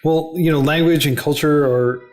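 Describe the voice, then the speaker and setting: A man's voice: a little high, steady tone, normal speed. A man, a native English speaker, talking as the guest in a casual podcast interview. He explains at length and peppers his speech with "like" and "you know". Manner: chatty, explanatory, lots of "like" and "you know" fillers. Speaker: interview guest, head of a coding non-profit.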